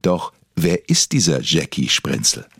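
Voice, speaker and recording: A man with a husky voice reading scripted documentary narration in a formal, deadpan manner. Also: very deep voice, a little slow, dry recording.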